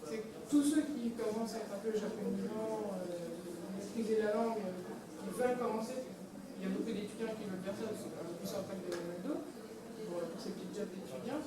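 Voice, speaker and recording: A woman's voice: mid-range voice, fairly quick. Hesitant woman in a very echoey room. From Burgundy, France. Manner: hesitant, many fillers and restarts. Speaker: manga translator. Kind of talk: interview talk about her work. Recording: very echoey room, far mic, loud background noise.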